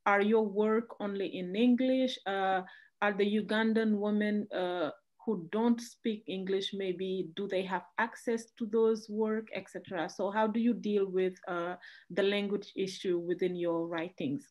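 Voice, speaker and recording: A young woman on a video call from home, asking a panel a polite question in a halting way and restarting her phrasing. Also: medium pitch, steady tone, a little mellow. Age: young adult. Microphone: laptop camera on a video call.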